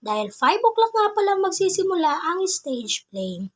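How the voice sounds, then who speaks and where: Very high-pitched young Filipino woman vlogger. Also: sing-song, normal speed. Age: young adult. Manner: playful, giggly, mixes English into Tagalog. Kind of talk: scripted casual vlog narration with slang.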